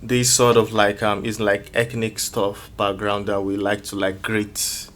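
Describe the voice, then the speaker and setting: A man with a Nigerian accent answering casually as an interview guest, explaining things haltingly. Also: fairly low pitch, monotone, a bit bright, slight background noise. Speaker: music producer, interview guest. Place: music studio. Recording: music playing behind the voice.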